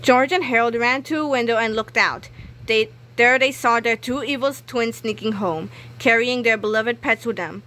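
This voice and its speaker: A woman's voice: on the high side, moderate pace, bright, forceful tone. A woman reading a children's book aloud expressively, acting out dialogue and sound effects. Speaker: book reader. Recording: clearly noisy home recording.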